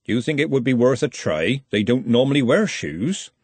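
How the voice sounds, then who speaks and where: A man's voice: mid-range voice, very animated, normal speed, a little mellow, slightly rough, no echo. Man acting a friendly dwarf craftsman. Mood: friendly, surprised. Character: friendly male dwarf craftsman, mildly puzzled. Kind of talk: audiobook character acting.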